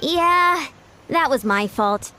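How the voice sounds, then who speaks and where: Animated, upbeat young fitness coach. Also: female voice, high voice, slow pace, heavily acted. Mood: encouraging. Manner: confident, instructive. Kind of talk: video game voice acting.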